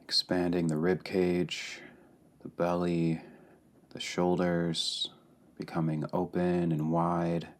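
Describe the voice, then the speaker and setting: A man leading a guided meditation calmly and deliberately, pausing between short phrases. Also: sits low. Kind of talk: guided meditation, slow and spacious.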